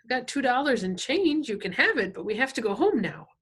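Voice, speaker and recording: Woman acting a nervous, high-voiced, precocious small boy. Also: sing-song, slightly rough. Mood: pleading. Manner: formal, halting, nervous. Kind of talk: audiobook character dialogue.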